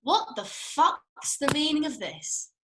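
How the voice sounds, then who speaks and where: A woman's voice: high-pitched, big pitch swings, takes it slowly, noisy background, full character voice. A woman acting a feisty, blunt young working-class woman in a comedy script read, colloquial and sweary. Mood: indignant.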